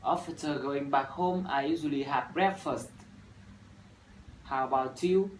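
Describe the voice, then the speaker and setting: A twenty-one-year-old university student from Vietnam with a Vietnamese accent, reciting an English practice dialogue in a measured, rehearsed way with small fillers. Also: male voice, medium pitch. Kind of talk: scripted classroom dialogue practice. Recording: echoey room from far off, faint noise.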